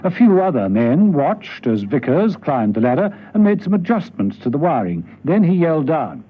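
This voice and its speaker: A man's voice: fairly high pitch, very animated, normal speed. A man reading scripted narration for a radio drama. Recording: dull radio recording with music. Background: clear music.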